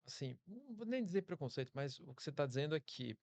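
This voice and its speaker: A man's voice: on the high side, very animated, quick pace, a little mellow, dry recording. A man with a Brazilian Portuguese accent, co-hosting an interview in a casual, reflective way. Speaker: podcast co-host, interviewer.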